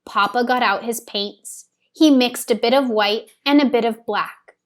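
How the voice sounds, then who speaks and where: Very slow woman reading a children's story. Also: fairly high pitch. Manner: deliberate, careful phrasing for young children. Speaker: storybook reader. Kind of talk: children's story read-aloud, scripted narration.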